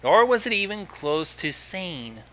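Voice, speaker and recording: A man giving casual, informal commentary on a story, in a halting way. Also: sits high, very animated, a bit bright, muffled recording. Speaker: co-commentator on a fan fiction reading. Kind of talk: casual reaction chat.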